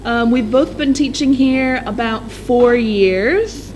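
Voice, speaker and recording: A young woman, an English teacher, chatting casually on a live stream with quick laughs and frequent ums. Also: fairly high pitch, darkish tone, a little hiss or hum, low-quality recording. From United States.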